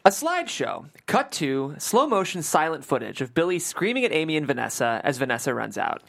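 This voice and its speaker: A woman's voice: sits low, big pitch swings, fairly quick, gravelly, fairly bright tone. A woman reading stage directions as scripted narration at a screenplay table read.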